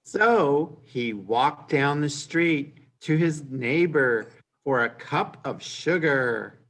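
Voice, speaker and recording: Male reader's theater narrator, somewhat high voice, unhurried reading. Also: animated, fairly bright tone. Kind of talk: scripted reader's theater storytelling.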